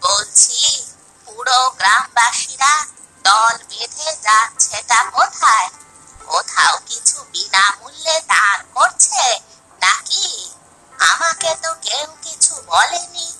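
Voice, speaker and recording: A woman's voice: on the high side, lively intonation, slow, sharp tone, slightly rough, soft background music, full character voice. A woman playing an elderly, poor village mother in a cartoon story, fretful and protective, full of folksy questions and exclamations. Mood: curious, puzzled. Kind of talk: Bengali animated moral story acting.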